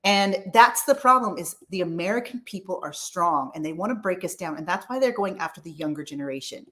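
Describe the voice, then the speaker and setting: A woman's voice: lowish voice, expressive, a little fast, sharp tone. Emphatic millennial woman from California. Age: 30s. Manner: rambling and emphatic, with frequent restarts. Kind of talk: casual political chat.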